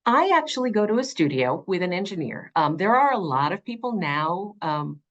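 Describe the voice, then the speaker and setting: A woman's voice: fairly low pitch, sing-song, normal speed, dull audio. A middle-aged woman giving conversational, emphatic interview answers as an audiobook narrator.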